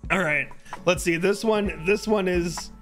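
Hoarse, high-pitched male streamer. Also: steady tone, a little slow, fairly bright tone. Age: early thirties. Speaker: live streamer. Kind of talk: casual livestream chat. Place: indoor home streaming room. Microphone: close boom-arm microphone. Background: music.

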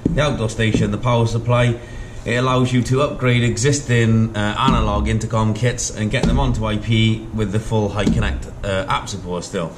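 A man's voice: medium pitch, noisy background. A man in his thirties giving an informal, practical how-to product demonstration in a plain-spoken, explanatory way, recorded indoors on a camera microphone. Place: indoor showroom at a demonstration table.

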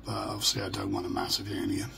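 A middle-aged British man with a hoarse, croaky voice, talking haltingly and in a downbeat way in a casual personal video diary. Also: on the low side, very animated. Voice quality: croaky, gravelly. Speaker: vlogger. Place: small furnished room at home. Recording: noisy home phone or webcam recording.

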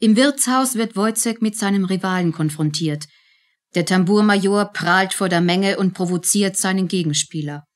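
A woman's voice: medium pitch, normal speed. A woman narrates a radio drama. She reads from a script, setting out each scene as the storyteller.

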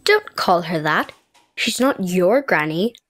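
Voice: mid-range voice, animated, slow pace, fairly bright tone. Grumpy irish boy in a kids audio play, snotty know-it-all, voice jumps around. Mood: irritated. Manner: curt, scornful. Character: Caoimhín, sullen, snappy know-it-all boy. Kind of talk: audio drama for children.

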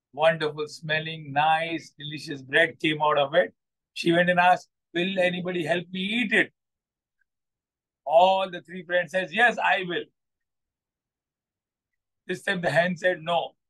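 A man with an Indian accent and a fairly high voice tells a simple story as a coach would. He speaks deliberately and repeats phrases for his listeners. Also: fairly bright tone, low-quality recording. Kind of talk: unscripted moral storytelling to a group. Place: open balcony or corridor of a building.

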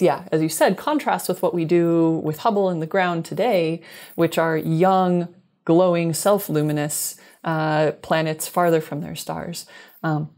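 A woman's voice: low-pitched, darkish tone. A woman in her thirties speaking as a scientist guest in an interview, explaining technical things in a thoughtful, careful way. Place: small room indoors, video call. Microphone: wired earbuds headset on video call.

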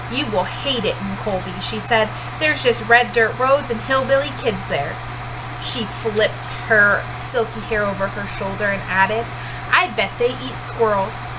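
A woman's voice: on the high side, background noise. A woman in her thirties, a teacher reading a storybook aloud in first-person narration at home. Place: small cluttered room at home, indoors.

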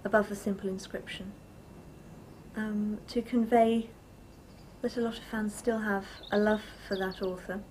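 A woman's voice: medium pitch, little pitch movement, a little slow, a little mellow, slight background noise, poor audio. A woman, giving an informal account as an organiser. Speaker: event organiser.

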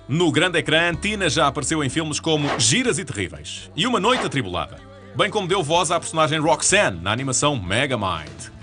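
A man with a European Portuguese accent reading scripted narration for a TV channel promo in a smooth, even announcer delivery. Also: on the high side, brisk. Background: music bed.

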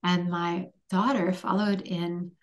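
A woman's voice: fairly low pitch, level tone, slow pace. Thoughtful woman on a video call. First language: Hungarian. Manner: thoughtful, many ums and restarts. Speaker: author guest. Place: home room with bookshelves.

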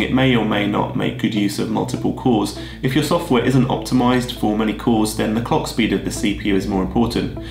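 A man, husky from a head cold, walking through his tech choices in a steady, methodical way. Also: lowish voice. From Australia. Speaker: video creator. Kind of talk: scripted tech vlog explanation. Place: plain room indoors, seated at a desk. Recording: roomy echo with music behind.

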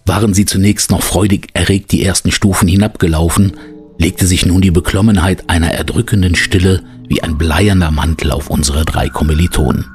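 Very deep-voiced actor as solemn storyteller. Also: male voice, fairly even intonation, darkish tone, husky, faint music behind. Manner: measured, florid storytelling. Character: solemn storyteller of a youth adventure tale. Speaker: narrator. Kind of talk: scripted audio drama narration.

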